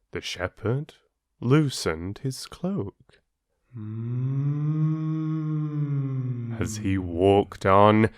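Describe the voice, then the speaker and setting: A man with a lowish voice tells stories as an audiobook host. His delivery is very unhurried and expressive, with deliberate dramatic phrasing. The sound is close and dry. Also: dry recording. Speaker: storyteller and channel host. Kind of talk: expressive audiobook narration of fables.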